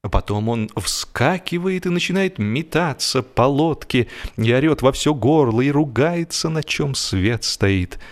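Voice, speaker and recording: A man narrating a humorous literary story with expressive, deliberate, measured phrasing. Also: medium pitch. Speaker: audiobook narrator.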